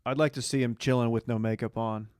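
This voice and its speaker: A man's voice: medium pitch, fairly even intonation, quick pace, a little mellow, dry recording, clean studio sound. A young man co-hosting a podcast in casual, slangy, joking conversation. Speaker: podcast co-host. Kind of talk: casual banter, reality TV recap.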